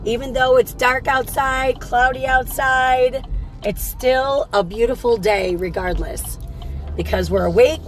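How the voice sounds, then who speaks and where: A woman hosting a casual morning livestream, chatty and upbeat, her voice slightly raspy from a cold. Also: a little high. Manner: upbeat, chatty, greets viewers by name. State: fighting off a cold. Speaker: livestream host.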